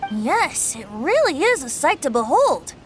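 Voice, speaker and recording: A woman's voice: high voice, very animated, measured pace, fairly bright tone, heavily acted. A woman playing a carefree, youthful male bard in a video game, airy and theatrical, with flowery phrasing and playful vanity. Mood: self-satisfied. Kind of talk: video game character acting. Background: game music.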